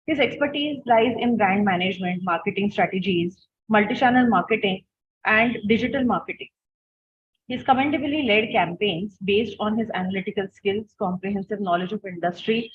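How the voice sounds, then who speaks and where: A woman reading a prepared introduction in a formal tone. Her voice is slightly husky. It comes through a tinny, phone-like line. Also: mid-range voice. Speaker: conference co-convener. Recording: tinny phone-like call audio.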